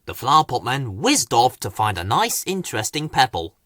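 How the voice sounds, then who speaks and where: A man's voice: mid-range voice, very animated, normal speed, a bit bright, no room sound, studio quality. A man narrating a children's story as an audiobook reader.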